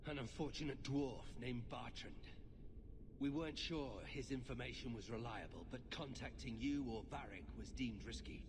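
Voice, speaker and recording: A man's voice: mid-range voice, a little slow, big-room sound, noisy background, natural voice. An actor playing a grave, guarded warrior nobleman in a fantasy video game, speaking formally and seriously. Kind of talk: dramatic video game dialogue.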